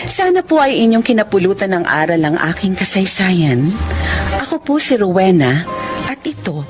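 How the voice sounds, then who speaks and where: A woman's voice: mid-range voice, very animated, normal speed, natural voice. An actress playing a loving, contented young wife and mother in a sentimental, earnest Filipino radio drama style. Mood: grateful, sincere. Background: music.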